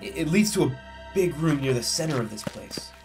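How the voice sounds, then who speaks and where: A man playing a confident, steady expedition guide in a sci-fi audio drama, calmly instructive. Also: on the high side, brisk, over music, natural voice, poor audio. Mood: steady, directing. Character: confident, steady male crew guide and adventurer.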